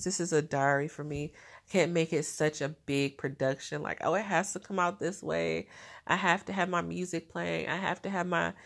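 Low nasal chatty woman, stuffed up. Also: gravelly. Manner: chatty, laughs often. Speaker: vlogger. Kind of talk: casual diary vlog.

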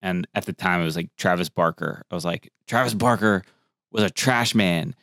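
A man's voice: deep voice, moderate pace, gravelly, fairly bright tone, dry recording, studio quality. A man hosting a podcast interview, chatting loosely and swearing casually, his voice jumping up when he asks questions. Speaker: podcast host.

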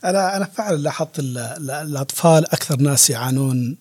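Guy hosting, talks fast, slightly high voice, very dry sound. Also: darkish tone, husky, no room sound. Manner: conversational, many fillers and restarts. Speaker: host. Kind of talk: casual interview.

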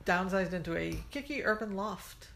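A woman's voice: medium pitch, expressive, moderate pace, creaky, a bit bright. A woman co-hosting a podcast in casual chat, talkative and laughing often, trailing off mid-sentence. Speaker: podcast co-host.

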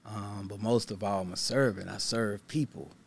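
A man's voice: lowish voice, steady tone, moderate pace, vocal fry, slight background noise. A man from Baton Rouge, telling his story as a guest in a candid interview, in a laid-back, plainspoken, slangy way. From Baton Rouge, Louisiana. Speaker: guest, pastor and motivational speaker.

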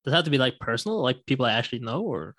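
A man's voice: medium pitch, big pitch swings, very fast, fairly bright tone, no echo, studio quality. A young man from Sweden telling a story as a podcast guest, with many fillers and restarts. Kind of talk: casual storytelling.

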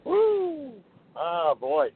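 A man's voice: extremely high pitch, huge pitch swings, drawn-out words. A man in casual chat, hesitant and flustered as he jokes along on the spot. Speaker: show participant put on the spot. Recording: tinny phone line with clear noise.

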